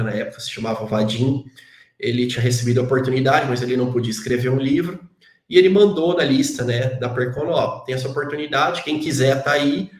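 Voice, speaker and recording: A talkative Brazilian man with a Brazilian Portuguese accent, chatting as an interview guest in a middle-pitched voice. He strings his thoughts along with tag questions and self-deprecating asides. The sound carries a roomy echo. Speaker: guest, database engineer. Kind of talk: casual interview chat.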